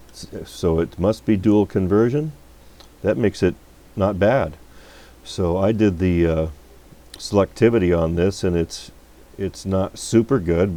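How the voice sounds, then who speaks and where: A man giving a casual, unscripted technical demonstration at a workbench, talking in a folksy, halting, rambling way. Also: on the low side, warm tone. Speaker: electronics repair technician and video host. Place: radio repair workbench.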